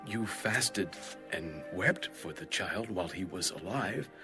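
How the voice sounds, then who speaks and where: An actor playing an anxious, bewildered royal servant with a hushed, questioning manner in a dramatized audio Bible. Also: male voice, low-pitched, expressive, slow, music in the background. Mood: puzzled.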